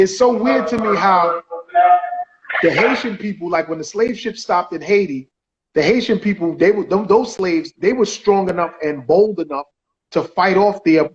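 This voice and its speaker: A man co-hosting a podcast in casual debate, rambling loosely and shouting out viewers' comments. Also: sits high, highs cut off. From United States. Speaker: podcast co-host.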